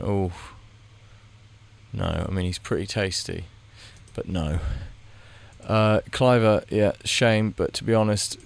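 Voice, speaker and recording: A man's voice: sits low, vocal fry. A man giving casual, rambling commentary while he plays a game, muttering his reactions as he goes. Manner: thinks aloud, muttering reactions to the screen; rambling, with frequent restarts. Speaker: gaming video creator.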